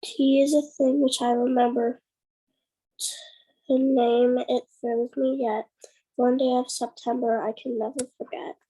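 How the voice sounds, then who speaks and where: A woman reciting rhymed verse for a congregation in a husky, soft-edged voice. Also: a little high, little pitch movement, unhurried, poor audio. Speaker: poetry reader at a congregation service.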